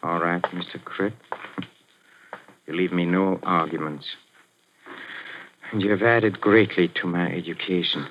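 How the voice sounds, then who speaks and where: An actor playing a nervous, sly middle-aged European impresario who stammers and hesitates, breaking into nervous little laughs, in 1940s radio acting. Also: male voice, low voice, fairly even intonation, unhurried, pauses often. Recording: muffled 1940s radio broadcast.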